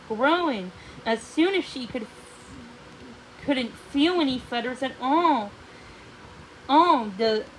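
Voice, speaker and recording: A woman's voice: high-pitched, slight background noise, low-quality recording. A woman narrating a storybook read-along in a dramatic manner, occasionally stumbling over words.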